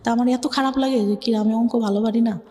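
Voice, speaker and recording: A young woman with a Bangladeshi Bengali accent tells her life story to an interviewer, speaking softly and hesitantly with many filler words. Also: fairly high pitch, fairly even intonation, fast talker, in a large hall. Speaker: interview guest. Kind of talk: personal life story in conversation.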